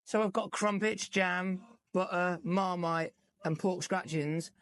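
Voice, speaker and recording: A man's voice: high voice, fairly even intonation, takes it slowly, fairly bright tone, no echo. Chatty English man telling stories. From England. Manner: chatty storyteller, swears, acts out quoted dialogue. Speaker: musician guest. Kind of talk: casual interview chat.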